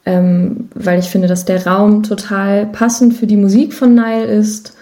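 A woman's voice: mid-range voice, level tone, unhurried, warm tone. A woman talking as an interview guest in a casual podcast, telling stories in a chatty, informal way. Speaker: interview guest, musician and songwriter.

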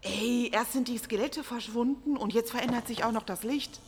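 A woman's voice: fairly high pitch, normal speed, background noise, lightly acted. A woman playing a wary member of an adventuring crew in casual radio play dialogue. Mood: alarmed. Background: loud music.

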